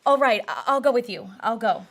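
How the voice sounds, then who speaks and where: A woman acts a young lady in trouble in a radio detective drama. Her voice is fairly high, and she sounds nervous and guarded. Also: lively intonation, brisk, loud music behind the voice, natural voice. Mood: uneasy. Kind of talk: old-time radio drama acting.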